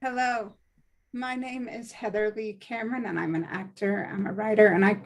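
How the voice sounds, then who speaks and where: A woman from Canada speaks with a medium-pitched voice in a casual, conversational style. She introduces herself as an actor might on an informal video call. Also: steady tone, normal speed. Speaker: actor and writer. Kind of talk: casual self-introduction and chat.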